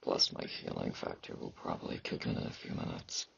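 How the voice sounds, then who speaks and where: A man playing an aloof, battle-hardened fantasy knight in an ASMR roleplay, halting and terse, with a husky, gravelly voice and sibilant S sounds. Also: a bit bright, dry recording, highs cut off, natural voice. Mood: gruff, concerned. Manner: halting, terse, low-key, socially awkward.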